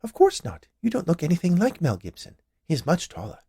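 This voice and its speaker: A man's voice: high voice, wildly swooping pitch, a little fast, creaky, slightly rough, no echo, clean studio sound, voice pushed up, heavily acted. A man voicing a cheerful, chatty large woman who runs a candy shop in a storybook tale. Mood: skeptical. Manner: bright, chatty, eager.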